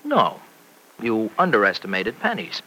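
Blunt, bright-voiced young American guy. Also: fairly low pitch, wildly swooping pitch, a little slow, husky, heavily acted. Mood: blunt, defensive. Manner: blunt, defensive, colloquial. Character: blunt, loyal young American truck-driving man. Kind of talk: old-time radio serial acting. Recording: noisy old radio broadcast recording.

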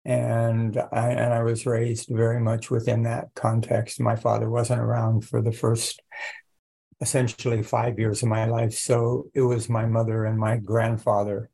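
An older man whose first language is Italian, a professor telling his life story on a video call in an unhurried, reflective way with soft laughs. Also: mid-range voice, monotone, gravelly, husky. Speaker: guest, religion professor. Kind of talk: casual conversation.

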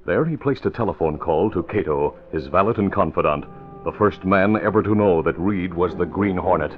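A man's voice: sits low, moderate pace. A man announcing and narrating in 1940s radio style, with emphatic, dramatic delivery. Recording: dull vintage radio recording, faint music.

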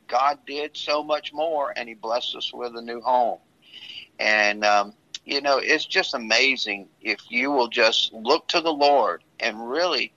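A man who is a pastor, talking earnestly and at a measured pace about his own story, often restarting his sentences. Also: medium pitch, bright, forceful tone. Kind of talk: casual talk sharing a testimony. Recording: dull lo-fi sound.

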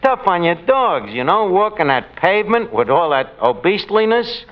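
A man's voice: high-pitched, sing-song, moderate pace. An actor playing a wisecracking middle-aged tavern manager in a 1940s radio sitcom, mangling words and chuckling at his own jokes. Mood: joking. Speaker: radio comedy lead. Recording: hissy old lo-fi radio recording.

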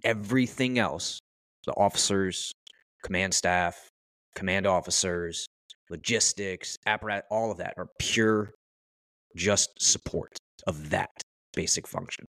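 Deep-voiced man talking bluntly on a podcast. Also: a little slow, fairly bright tone, dry recording. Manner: blunt, emphatic, colloquial. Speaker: podcast guest, firefighter. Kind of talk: opinionated casual podcast talk.

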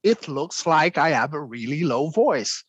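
A man, a guest vocalist, explaining extreme singing in a conversational interview, clearing his throat often between demonstrations. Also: on the high side, lively intonation, a little slow, dry recording.

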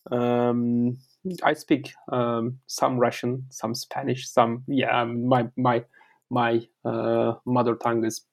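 A man's voice: medium pitch, monotone, slow pace, creaky. A man from Turkey with a Turkish accent, a guest author on an interview podcast, talking in a rambling way with many fillers and repeated words.

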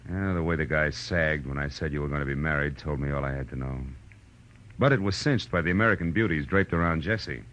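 An actor playing a wry, world-weary private detective in 1940s radio noir style, with a dry, hard-boiled manner. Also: male voice, very deep voice, fast, fairly bright tone. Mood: explaining, sober. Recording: muffled old radio recording, faint music.

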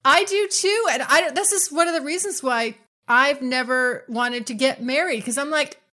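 A woman's voice: sits high, normal speed, sharp tone. A woman hosting a casual interview, blunt and effusive, swearing freely as she talks. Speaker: podcast host.

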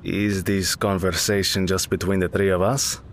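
A man's voice: sits low, moderate pace, slight background noise, natural voice. A man playing a friendly, dramatic young cafe owner, a loyal best friend, in an audio drama. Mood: guarded. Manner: expressive, teasing, emphatic. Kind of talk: naturalistic audio drama acting.

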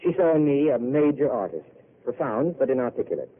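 An actor playing a weary, self-mocking intellectual who speaks in a wry, eloquent way, heard on a tinny old radio broadcast. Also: male voice, mid-range voice, big pitch swings, fairly quick. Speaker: wandering failed writer. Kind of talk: 1930s radio drama acting.

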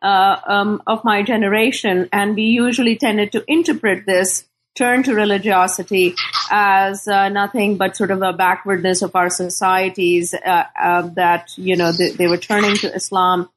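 A middle-aged woman from Pakistan speaking as a scholar in an interview, thoughtful and deliberate, often pausing to search for words. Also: mid-range voice, fairly even intonation. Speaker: scholar and author being interviewed. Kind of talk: thoughtful academic interview talk.

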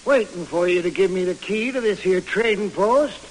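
An actor playing a skeptical backwoods trapper with a country drawl in a 1940s radio western. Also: male voice, high-pitched, moderate pace, close to an everyday voice, poor audio. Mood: sly. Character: skeptical, sly old-West trapper, backwoods talker. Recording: faintly hissy old radio broadcast.

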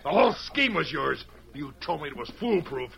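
A cornered, defiant henchman in a vintage radio western. The American voice is fairly high and swings wildly in pitch. There is a murmur of people behind it. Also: moderate pace, gravelly. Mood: defiant, panicked. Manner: excitable, defiant. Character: cornered hired killer, excitable squealing henchman in a western. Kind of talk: 1940s radio western acting. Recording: old radio recording with crowd murmur.

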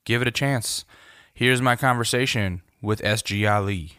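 A man's voice: on the low side, moderate pace, bright, forceful tone, smooth, no echo. Casual male interviewer in a dry space. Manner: informal, slangy, profane. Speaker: interview host.

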